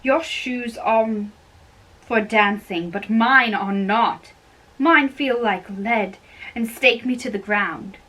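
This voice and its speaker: Gloomy lovesick youth for stage play, read by a woman: highish, slow, plaintive, distant mic. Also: fairly bright tone. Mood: mournful. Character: sad lovesick young man, gloomy and reluctant. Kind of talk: amateur dramatic reading of a stage play.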